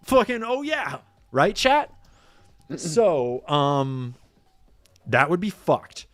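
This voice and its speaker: A man's voice: fairly high pitch, sing-song, extremely slow, no room sound, faint music behind. A man giving casual, profane commentary to his live chat, blunt and rambling, with lots of asides.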